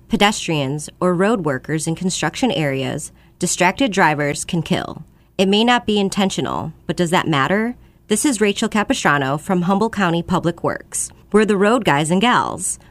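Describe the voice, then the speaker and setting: Woman, earnest safety spot read, slightly low voice. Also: no room sound. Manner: earnest, serious. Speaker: public works spokesperson. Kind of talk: public service announcement.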